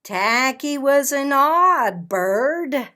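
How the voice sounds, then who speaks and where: A woman reading a children's story aloud expressively, with a playful, dramatic delivery and pauses. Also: high voice, expressive, bright, forceful tone. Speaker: storybook read-aloud host.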